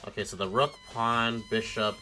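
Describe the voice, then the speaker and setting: A man's voice: fairly low pitch, fairly even intonation, moderate pace, pushed and bright, soft background music. A man streaming a game with casual commentary, reasoning aloud in a blunt, speculative way with casual swearing. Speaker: streamer playing a mystery game.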